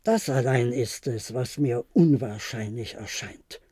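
An actor playing a weary, pensive old man in a German radio play, speaking in a halting, deliberate way. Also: mid-range voice, lively intonation, dark tone, breathy edge, dry recording, clean studio sound. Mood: brooding, insistent. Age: older. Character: weary old former diplomat, pensive and insistent.

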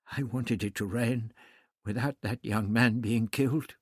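Guy doing an upset earnest woman in a story, pleading, higher pitched, breathy. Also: steady tone, unhurried, dry recording, clean studio sound. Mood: choked, miserable. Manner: pleading, emotional delivery. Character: anguished, earnest woman, soft and vulnerable.

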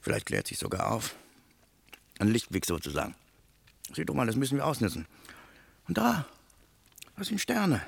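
An actor playing a grumbling, bossy lost soldier in a radio play, with coarse, needling talk. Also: male voice, mid-range voice, wildly swooping pitch, normal speed, creaky, pauses often, breathy edge, no echo, full character voice. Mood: insistent. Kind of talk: German radio play dialogue.

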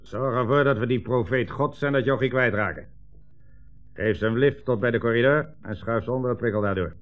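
An actor playing a bullying communist police commissioner in a 1960s radio drama, mocking, curt and domineering. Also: male voice, medium pitch, brisk, close to an everyday voice. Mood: barking orders. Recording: dull 1960s radio recording, music behind.

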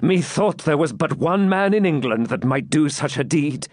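A man's voice: a little high, sing-song, moderate pace, no room sound, studio quality, voice pushed up. A man playing a wounded medieval knight, proud and impatient, with declamatory, insistent, archaic chivalric speech. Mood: joyful awe.

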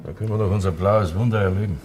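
A man's voice: fairly low pitch, steady tone, very fast, darkish tone, lightly acted. An actor playing a dutiful, cautious military man in a radio play, speaking matter-of-factly. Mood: businesslike. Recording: clearly noisy old radio-play recording.

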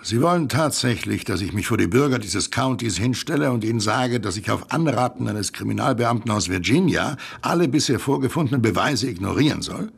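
An actor playing an indignant, sarcastic county sheriff with a gravelly voice in a German radio drama. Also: male voice, medium pitch, expressive. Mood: incredulous, indignant. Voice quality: gravelly, raspy. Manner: sarcastic, slow pointed questioning.

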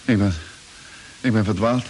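An actor playing an exhausted spaceman lost in the desert, speaking weakly and haltingly in a radio drama. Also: lowish voice, steady tone, a little mellow, a little hiss or hum, close to an everyday voice, poor audio. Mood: weary. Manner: halting, breathless delivery. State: weak and exhausted. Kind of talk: 1950s radio drama acting.

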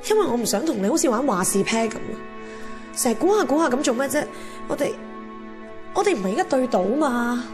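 A woman's voice: fairly high pitch, big pitch swings, a little fast. Woman acting a lovelorn young Hong Kong heroine, music behind. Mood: frank. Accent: Hong Kong Cantonese. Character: lovelorn young woman narrating her romance, wistful and candid. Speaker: narrator and lead character. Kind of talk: radio drama narration and dialogue.

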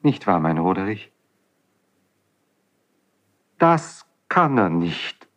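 An actor playing an ardent, emotional young prince in a 1970s German radio play, with impassioned, drawn-out stage delivery. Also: male voice, low-pitched, sing-song, heavily acted. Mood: fervent, anxious.

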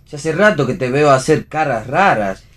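An actor playing a concerned husband in read radio theatre, with a husky voice. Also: male voice, mid-range voice, expressive, normal speed, lightly acted. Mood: worried. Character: concerned husband of a woman in labour.

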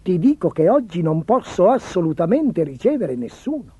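A man's voice: high voice, sing-song, moderate pace, dark tone, breathy edge, dry recording, muffled recording, heavily acted. A man voicing a vain, imperious, spoiled storybook emperor in a petulant, commanding, theatrical manner. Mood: haughty. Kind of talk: storybook character voice.

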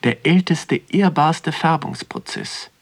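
An actor playing a contemplative first-person narrator in a literary radio drama, speaking in a measured, poetic way. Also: male voice, fairly high pitch, lively intonation, vocal fry, husky, heavily acted. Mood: brooding, poetic. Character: pensive male first-person narrator strolling through a natural history museum.

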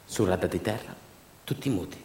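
A man performing a theatrical storytelling monologue for radio theatre, emphatic and repetitive, moving between hushed asides and louder delivery. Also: sits low, lively intonation, normal speed, a little hiss or hum. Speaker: stage actor and monologue storyteller. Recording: roomy echo, music behind.

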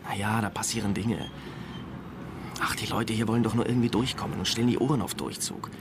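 An actor playing an evasive high school student confiding in police in a radio crime play, hesitant and colloquial. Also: male voice, fairly quick, creaky, background noise.

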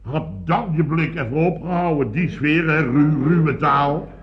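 An actor playing a blustering, boastful building contractor boss in a radio comedy, telling stories in a rambling, exclamatory, repetitive way. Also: male voice, on the high side, a little slow, lightly acted. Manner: rambling, repetitive, exclamatory storytelling with tag questions. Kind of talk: 1970s radio comedy acting. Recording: dull, lo-fi old radio recording.

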